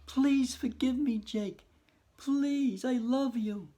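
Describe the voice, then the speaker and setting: A man's voice: very high voice, slow, dark tone, voice pushed up, heavily acted. A man voicing a frantic, remorseful widow in a storybook reading, pleading breathlessly.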